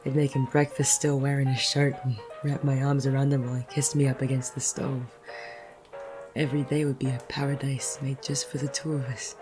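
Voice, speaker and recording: An actor playing a gruff, wry, smitten male private detective in a noir audio drama, with teasing, plain-spoken tough-guy phrasing. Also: mid-range voice, level tone, brisk, music in the background, close to an everyday voice. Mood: wistful, tender. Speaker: narrator and lead character. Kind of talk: noir audio drama, first-person narration and banter.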